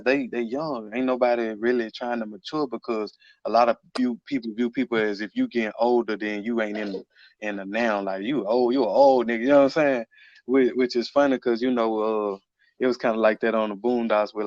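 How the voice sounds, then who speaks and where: A man's voice: mid-range voice, no echo, highs cut off. A man in casual podcast conversation, speaking informally with slang and often repeating his phrases.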